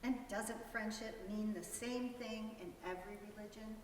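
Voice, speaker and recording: A woman reading the part of a gentle, grateful mother in a storybook. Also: mid-range voice, steady tone, moderate pace, a little hiss or hum, close to an everyday voice. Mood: gentle, reassuring. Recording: very echoey hall, distant mic.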